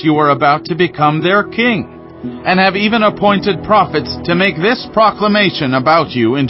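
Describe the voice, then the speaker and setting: A man's voice: a little high, lightly acted. A man playing an accusing, insinuating enemy governor declaiming a threatening letter in a dramatized scripture audiobook. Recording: dull sound with faint music underneath.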